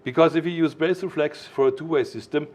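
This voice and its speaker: A man explaining technical matters informally as a product designer, in a didactic, emphatic way with halting phrasing. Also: a little high, darkish tone.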